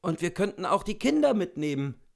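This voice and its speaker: A man voicing a distressed gentleman husband, earnest and pleading, in a dramatised classic story reading. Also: sits high, sing-song, a little fast, vocal fry, exaggerated voice. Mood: coaxing.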